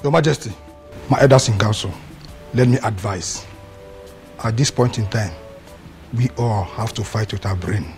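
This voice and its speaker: An actor plays an elder advising his king in a formal, measured way. His voice sits low, with quiet music behind it. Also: lightly acted. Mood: calm. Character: calm elder counselling a king.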